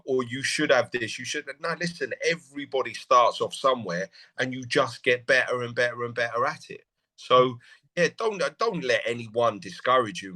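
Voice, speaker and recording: Emphatic motivational coach. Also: male voice, medium pitch, fairly bright tone, low-quality recording. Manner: emphatic, repetitive, preachy. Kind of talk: encouraging pep talk.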